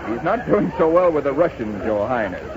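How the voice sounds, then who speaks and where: An actor playing a wisecracking royal chancellor in a 1930s radio comedy sketch, announcing like a racetrack caller, on a dull, very noisy old broadcast. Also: male voice, mid-range voice, big pitch swings, fairly quick, crowd noise, close to an everyday voice. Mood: mock urgent.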